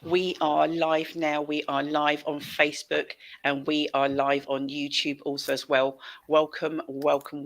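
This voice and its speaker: A middle-aged woman presents a casual online show with plenty of fry in her voice. She is effusive, often repeating words for emphasis. She speaks into a headset on a video call. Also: sits low, fairly bright tone. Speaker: show host. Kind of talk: casual livestream hosting. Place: small indoor room on video call.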